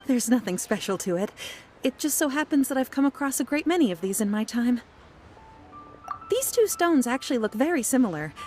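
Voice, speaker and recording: A woman's voice: on the high side, a little mellow, natural voice. A woman playing a learned gem appraiser. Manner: measured, explanatory. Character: knowledgeable female gem appraiser, modest. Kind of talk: game voice acting. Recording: studio voice over game music.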